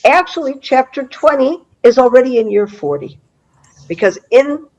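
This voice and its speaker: A middle-aged woman with a cold-hoarse voice teaching a Bible study over a video call, chatty and full of fillers and restarts. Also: high-pitched, expressive, normal speed, darkish tone, lo-fi sound. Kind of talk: online Bible class lecture.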